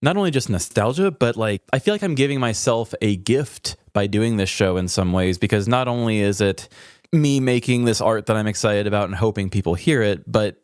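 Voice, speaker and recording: A man's voice: lowish voice, brisk, darkish tone, clean tone, dry recording, studio quality. A man co-hosting a podcast, chatting in an informal, reflective way. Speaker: podcast co-host. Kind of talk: casual podcast chat.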